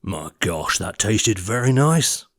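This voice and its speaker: A man voicing a huge, grumpy man-eating giant with a deep, rumbling voice. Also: sing-song, takes it slowly, a bit bright, no room sound, studio quality, heavily acted.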